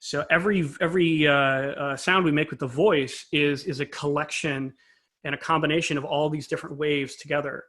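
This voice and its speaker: A man's voice: a little high, fairly even intonation, brisk, a bit bright. A man being interviewed as an expert, giving an informal, analytical explanation and choosing his wording carefully. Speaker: interview guest, composer and conductor.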